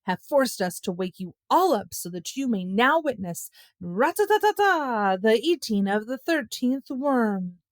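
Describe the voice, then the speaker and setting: A woman's voice: a little high, expressive, unhurried, few pauses, dry recording. A woman voicing a bossy, theatrical boy who proclaims like an announcer in a children's storybook. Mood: triumphant, proclaiming. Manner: grand, proclaiming. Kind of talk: children's audiobook character voice.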